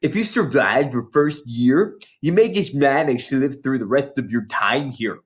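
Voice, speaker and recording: A man's voice: mid-range voice, expressive, normal speed, close to an everyday voice. A man voicing a big, boastful ten-year-old schoolgirl from a storybook, with an emphatic, relishing manner. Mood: ominous relish.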